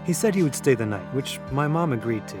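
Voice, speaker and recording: A man's voice: mid-range voice, lively intonation, a little fast, over music, close to an everyday voice. A man playing a knowing young man in an audio drama, talking in a casual, matter-of-fact way. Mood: brooding. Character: Zeb, knowing young man telling eerie memories.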